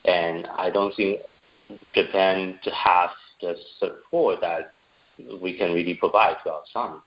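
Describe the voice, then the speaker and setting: A man's voice: sits low, steady tone, measured pace, lo-fi sound. A man from Taiwan, a guest who is an entrepreneur and parent, answering interview questions earnestly with frequent fillers.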